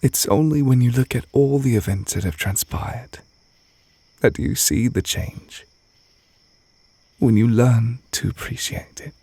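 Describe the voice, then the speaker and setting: A man voicing a tender, reserved witch teacher in a romantic ASMR roleplay, speaking softly and hesitantly, close to the mic with crickets behind. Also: medium pitch, slightly rough, no echo. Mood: wistful, tender. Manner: soft, intimate, hesitant, gently measured. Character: tender, reserved male witch teacher confessing love to partner. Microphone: close microphone.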